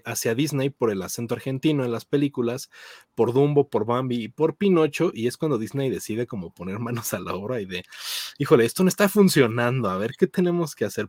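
A man's voice: medium pitch, a little mellow, no echo. Male host, Mexican accent, mid-range voice, conversational explainer in a casual panel talk, close dry recording. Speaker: host of a film dubbing discussion.

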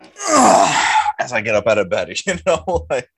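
A man's voice: fairly high pitch, huge pitch swings, unhurried, gravelly, fairly bright tone, slightly rough. A young man co-hosting a casual podcast chat, talking bluntly and grumbling, with frequent swearing. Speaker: podcast co-host.

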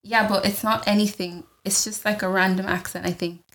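A woman's voice: mid-range voice, level tone, a little fast, a bit bright, slight background noise. A woman co-hosting a podcast in casual chat, rambling and restarting her questions often. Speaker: podcast co-host.

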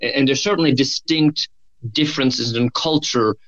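A man from Norway with a Norwegian accent, talking in a thoughtful, measured way with frequent restarts in a conversational church discussion. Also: medium pitch, a bit bright. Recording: slightly dull lo-fi audio.

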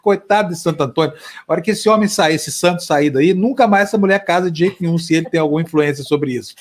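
A man hosting a talk show, bantering and joking with his guests. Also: on the high side. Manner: jokey, quick banter with fillers. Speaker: host. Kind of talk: casual talk show chat.

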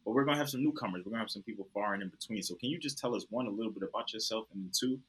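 A man's voice: fairly low pitch, racing, a bit bright, a little hiss or hum, poor audio. A young adult man hosting a podcast in a casual, upbeat, slangy way. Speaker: podcast host.

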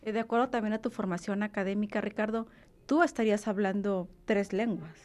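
Woman, interviewer, middle pitch, conversational, rephrases questions. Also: steady tone, brisk, darkish tone.

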